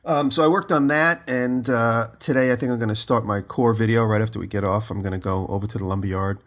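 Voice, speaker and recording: A man co-hosting a podcast, just out of bed with his voice lower than usual, telling long rambling stories from home over a remote call. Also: fairly even intonation, fast talker, fairly bright tone. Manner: rambling storyteller, giggly. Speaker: podcast co-host. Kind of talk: casual chat.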